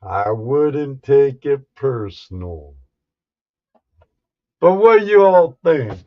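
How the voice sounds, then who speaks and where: Male livestream storyteller, folksy and halting, very slow delivery, mid-pitched voice, casual chat. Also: very animated, lo-fi sound.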